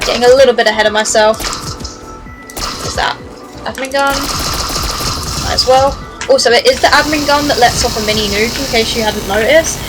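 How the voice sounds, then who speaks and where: A woman commentating her own gameplay in a casual, chatty, excitable way, swearing now and then, her voice a little lower than usual after days of heavy streaming. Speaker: gaming streamer.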